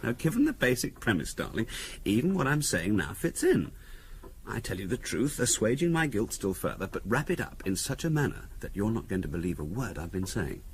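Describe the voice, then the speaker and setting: An actor playing a wry, teasing middle-class English husband in a 1970s radio drama, conversational with deliberate pauses. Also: male voice, lowish voice, big pitch swings, rough recording. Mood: needling. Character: teasing middle-class husband, dryly morbid, provocatively theorising. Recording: faint hiss on 1970s radio recording.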